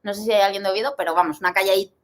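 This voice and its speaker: A woman from Spain co-hosting a casual livestream, telling stories in an animated way and reading out viewer questions. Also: mid-range voice, rapid-fire. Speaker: livestream co-host.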